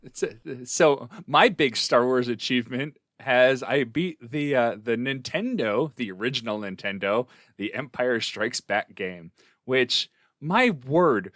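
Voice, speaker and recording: A middle-aged man co-hosting a podcast, chatting casually in a halting, rambling way. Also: medium pitch, expressive, fairly bright tone, no room sound, clean studio sound. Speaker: podcast co-host.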